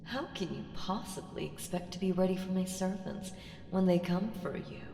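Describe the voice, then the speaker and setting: A woman playing an ancient evil entity in an ASMR audio roleplay, seductive, menacing and playfully condescending, with teasing, drawn-out murmurs that slip into whispers. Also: lowish voice, creaky, mellow, a little hiss or hum. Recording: echoing reverb with music underneath. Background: music.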